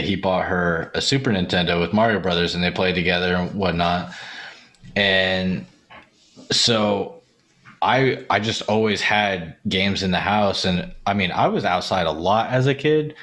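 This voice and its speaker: A man's voice: low-pitched, fairly bright tone. A man speaking as a podcast guest in casual, sweary conversation over a video call. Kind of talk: casual chat.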